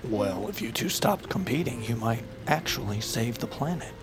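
A man's voice: mid-range voice, animated, moderate pace, music in the background, lightly acted. A man playing a showy, teasing survivalist breathing guru in an audio drama, with an exuberant, jokey manner. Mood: wry, teasing.

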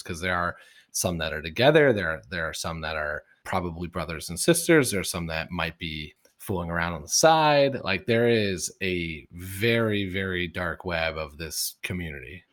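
Man, podcast host, very deep voice, chatty, casual interview. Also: lively intonation, moderate pace, fairly bright tone.

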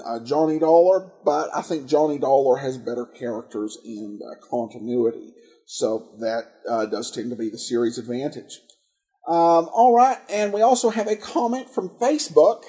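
Male podcast host, higher-pitched but soft-edged and mellow. Halting, conversational commentary with frequent self-corrections. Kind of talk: casual commentary on old radio detective shows.

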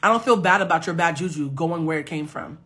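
A woman's voice: low-pitched, quick pace, fairly bright tone, faint music behind. A woman in her forties hosting a casual live stream, talking emphatically to viewers and reading out their comments. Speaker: host of a live stream and self-mastery coach. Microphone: phone.

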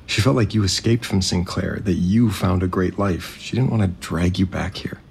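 Evasive widower and resort heir: low, creaky, apologetic, naturalistic audio drama over noisy ambience. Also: male voice, normal speed, a little mellow. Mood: strained, mournful. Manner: hesitant, apologetic, placating.

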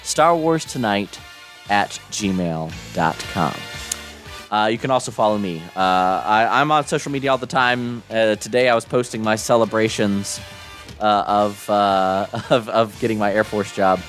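A young man hosting a live podcast, slightly hoarse, talking casually and upbeat with lots of fillers and restarts. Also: lowish voice, a little slow, a bit bright, soft background music. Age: young adult. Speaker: podcast host.

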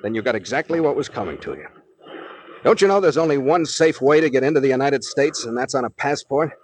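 An actor playing a brisk, level-headed captain in a 1940s radio drama, clipped and commanding in manner. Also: male voice, mid-range voice, lively intonation, husky. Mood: stern, matter-of-fact. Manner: clipped, commanding, matter-of-fact. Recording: noisy 1940s radio recording.

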